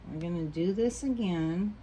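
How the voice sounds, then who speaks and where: A woman teaching a quilting block as she sews, patient and thinking aloud, a little hoarse as she loses her voice. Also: fairly low pitch, normal speed, warm tone, slight background noise, low-quality recording. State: losing her voice, coughing. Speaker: quilting instructor. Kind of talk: relaxed hands-on sewing tutorial.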